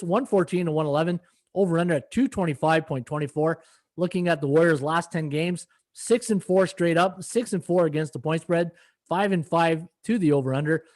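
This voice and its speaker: Hoarse middle-aged man talking betting picks. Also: sits high. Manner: rambling, many fillers, reads stats and chat. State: slightly hoarse. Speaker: podcast host and sports handicapper. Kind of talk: casual live-stream betting analysis.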